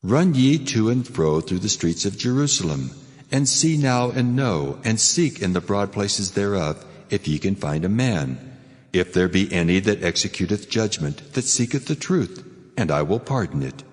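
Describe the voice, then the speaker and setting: A man's voice: lowish voice, sing-song, normal speed. A man playing a stern God pronouncing judgment in a dramatized scripture reading, solemn and commanding, in long reverb.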